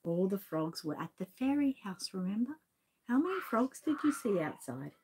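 A woman in her forties with an Australian accent, reading a storybook aloud to a toddler in a gentle, coaxing way, seated indoors close to the camera. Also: medium pitch, very animated, normal speed, a little hiss or hum. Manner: gentle, sing-song, coaxing. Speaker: channel host and grandmother. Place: indoor room with shelves, seated. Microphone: fixed camera at close range.

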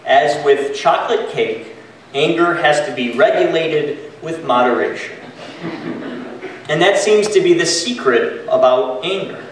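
A man in his thirties preaches slowly and deliberately from a pulpit, pausing between phrases as he reads from his notes. His voice sits a little high and lands in a very reverberant church sanctuary, picked up from some distance away. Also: lively intonation, a little hiss or hum. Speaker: pastor. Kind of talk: sermon.

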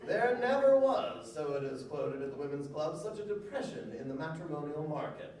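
A man's voice: a little high, lively intonation, moderate pace, a little mellow. A middle-aged man giving a melodramatic, mock-pleading comic reading of old satire on stage. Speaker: reader at a live literary reading. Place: museum lecture hall with live audience. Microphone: stand microphone on stage, distant camera recording. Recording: very echoey hall, distant, audience noise.

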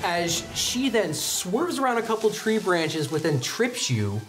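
Young male game master, expressive dramatic storyteller, music playing underneath. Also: high voice, unhurried, poor audio. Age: young adult. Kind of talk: dramatic tabletop roleplaying narration. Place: indoor studio set.

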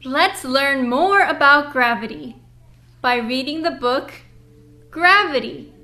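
A young woman presenting and reading for young children in an upbeat, clearly enunciated way, as a storytime host. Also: high-pitched, animated, takes it slowly, bright, forceful tone. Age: young adult. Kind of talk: children's read-aloud and presenting.

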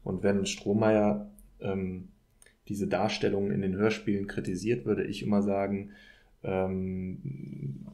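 A man in a thoughtful academic podcast discussion, speaking in a hesitant, measured way as an expert guest. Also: low-pitched, flat delivery, mellow. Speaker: podcast guest, political scientist.

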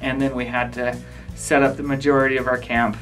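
A man's voice: medium pitch, level tone, brisk, fairly bright tone, soft background music, poor audio. A man in his thirties, a hiker, talking casually in a vlog style. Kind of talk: casual trail vlog talk and recollection.

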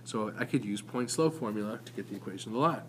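A man's voice: fairly low pitch, lively intonation, fast talker, a little hiss or hum. A man giving an informal, explanatory math lesson as a teacher, talking conversationally with some hesitant self-corrections.

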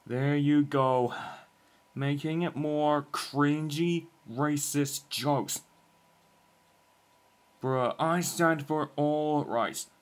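Guy doing a jaded sarcastic young rap battle rival for a fan game, slangy and fed up, talks real slow. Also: fairly high pitch. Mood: mocking, irritated. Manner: exasperated, dismissive, slangy.

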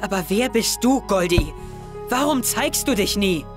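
A man's voice: high voice, expressive, measured pace, music in the background. A young man playing an anxious, wounded, suspicious teenage schoolboy in an audio drama, speaking intensely. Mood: accusing, hurt. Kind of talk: German audio drama acting, confessional narration and dialogue.

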